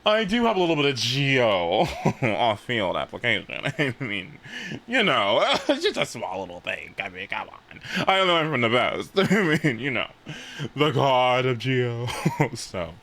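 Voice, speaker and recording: A man's voice: mid-range voice, very animated, a little slow, fairly bright tone. A man livestreaming reactions to a story game, talking in a theatrical, repetitive, joking way. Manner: theatrical mock outrage, heavy swearing, repetitive. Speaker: game streamer. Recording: faint game music behind.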